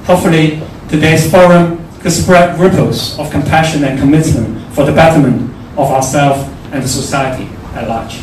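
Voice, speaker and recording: A man's voice: on the high side, moderate pace, few pauses, darkish tone. A man with a Hong Kong accent giving a prepared, formal speech at a forum. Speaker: forum speaker. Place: large echoey indoor room at a forum. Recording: very echoey room, distant mic, faint noise.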